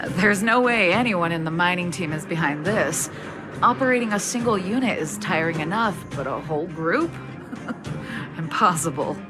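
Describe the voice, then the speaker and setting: A woman's voice: on the low side, expressive, unhurried, over music. A woman voicing a weary, earnest miner in a video game, with a sighing, hesitant manner. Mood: weary, explaining. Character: weary shaken female miner, earnest and friendly.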